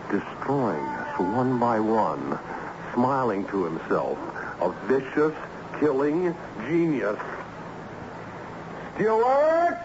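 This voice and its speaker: A man's voice: lowish voice, heavily acted. An actor playing a frightened but determined man hunting someone dangerous in an old-time radio drama. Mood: desperate calling out. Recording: muffled, noisy old radio recording.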